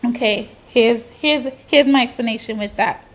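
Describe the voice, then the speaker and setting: A woman's voice: on the high side, normal speed. A woman delivering an opinionated, exasperated monologue in colloquial speech, with rhetorical questions and frequent swearing.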